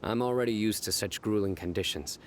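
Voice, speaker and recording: An actor playing a grim, stoic young male investigator who speaks in terse, deadpan, measured sentences. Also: lowish voice, a little hiss or hum, close to an everyday voice. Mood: stern. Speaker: stern law enforcer.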